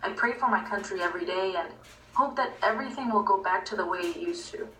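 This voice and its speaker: Bright woman, echoey and distant. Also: mid-range voice, quick pace. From Venezuela. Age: 20s. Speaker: storyteller. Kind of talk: personal story narration. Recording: echoey, distant playback with faint noise.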